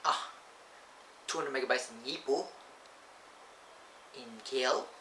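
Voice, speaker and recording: A man with a Malaysian English accent rambling through a casual vlog, often correcting himself and drifting off topic, recorded on a camcorder's built-in microphone that makes his voice sound thin and lacking bass. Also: unhurried, pushed and bright, low-quality recording. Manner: rambling, digressive, self-correcting. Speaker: vlogger. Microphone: built-in camcorder mic. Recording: camcorder audio with clear background noise.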